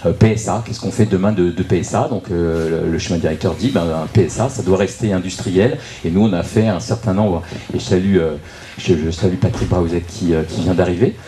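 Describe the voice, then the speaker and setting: A man giving an unscripted explanatory presentation at a public meeting, speaking haltingly and often repeating words as he searches for phrasing. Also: low-pitched, mellow. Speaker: local political speaker introducing a debate.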